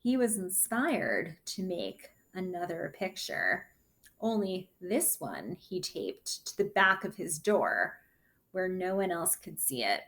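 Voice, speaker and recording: Very slow, animated woman reading aloud. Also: on the low side. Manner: deliberate, explains characters' feelings to listeners. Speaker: story reader. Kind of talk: expressive children's picture book read-aloud.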